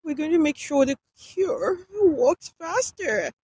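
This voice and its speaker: A woman reading aloud as a panicked female doctor who speaks high, breathless and near tears. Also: expressive, takes it slowly, darkish tone, no room sound. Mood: desperate.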